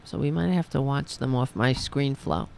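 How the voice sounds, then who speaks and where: A woman hosting a political talk livestream, hoarse after a long show, rambling and sarcastic as she talks to her chat. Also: very deep voice, moderate pace, darkish tone, a little hiss or hum. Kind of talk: casual livestream commentary.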